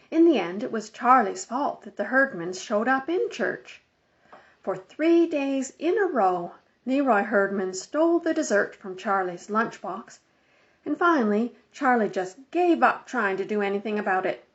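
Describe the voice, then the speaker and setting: A woman's voice: fairly high pitch, highs cut off. A woman reading a children's story aloud as scripted audiobook narration. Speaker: audiobook reader.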